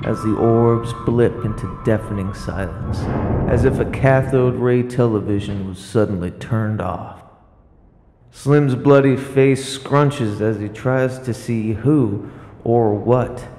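Slow, gravelly male radio-play narrator. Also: mid-range voice, a little mellow. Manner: theatrical, deliberate storytelling. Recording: roomy long reverb, music behind.

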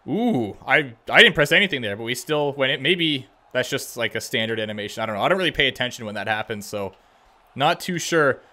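A man's voice: medium pitch, animated, a little fast, bright, forceful tone. A young man giving casual, slangy gameplay commentary on a hockey video game, with playful, self-deprecating asides. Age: young adult. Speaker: gaming video host playing a hockey game. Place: indoors at a home gaming setup. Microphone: close desk microphone, wearing headset.

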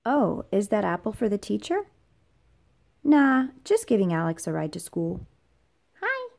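A woman's voice: mid-range voice, expressive, normal speed, vocal fry, a little mellow. A woman reading a children's comic aloud, playfully switching tone between the characters. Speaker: read-aloud narrator. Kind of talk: children's comic read-aloud, acting out dialogue.

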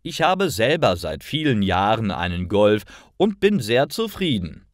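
Man acting as helpful male friend, assured, expressive intonation, careful diction, storybook dialogue. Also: on the low side, measured pace, no room sound, clean studio sound. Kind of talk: acted dialogue in a learner story.

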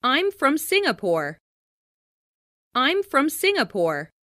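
A woman reading short example sentences with careful, deliberate enunciation for a listening lesson, in a dry studio voice-over. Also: high-pitched, wildly swooping pitch, a bit bright, no echo. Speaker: listening practice speaker. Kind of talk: slow read example sentences.

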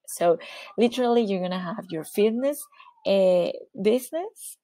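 A woman's voice: lowish voice, warm tone, dry recording. A woman from Venezuela whose first language is Spanish, speaking English with a Venezuelan accent as a fitness coach presenting slides, encouraging and often checking in with "okay?". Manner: encouraging, repeats "okay?", self-corrects.

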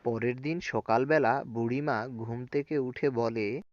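A man's voice: mid-range voice, a little slow, dry recording. A man narrates a scripted children's story in a mid-range voice. The recording is dry and a bit dull. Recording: dull lo-fi sound.

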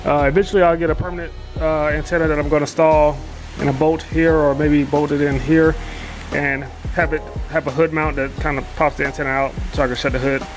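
A man's voice: a little high, little pitch movement, a little fast, music in the background. A man narrating as he installs a radio in his truck, talking casually to the camera, rambling and correcting himself with lots of fillers. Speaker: DIY vlogger installing a CB radio. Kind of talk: casual how-to narration while working. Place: inside a pickup truck cab and garage.

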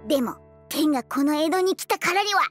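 Tiny mischievous monkey mascot for anime: extremely high squeak, animated, bragging and cute. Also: fairly quick, soft background music. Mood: smug. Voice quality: squeaky, cartoonish. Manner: cheeky, bragging, quirky verbal tic ending sentences. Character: cute boastful mischievous little monkey mascot, self-styled producer. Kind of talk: anime voice drama.

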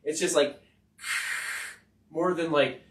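A man with a high-pitched voice, talking in a rambling, profane and emphatic way on a casual podcast. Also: steady tone, slow, slight background noise. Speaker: podcast host and rapper. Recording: roomy sound from far off the mic.

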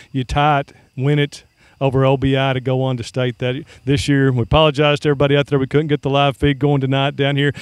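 A man's voice: medium pitch, smooth, no room sound. A man, a local sports broadcaster doing a postgame interview outdoors by a river at night, folksy and friendly, calling people "man".

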